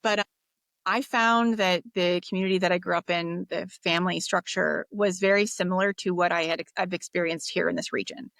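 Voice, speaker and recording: A woman from the United States, a podcast guest, talking in a relaxed, reflective way with fillers and restarts. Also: lowish voice, fairly quick, vocal fry, no echo. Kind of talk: casual interview talk.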